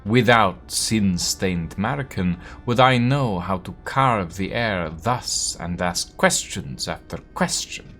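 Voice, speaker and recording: A man voicing a guarded, poetic young foreign woman in a text adventure game, with a measured, lilting delivery. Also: fairly low pitch, lively intonation, a bit bright, faint music behind, full character voice. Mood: musing.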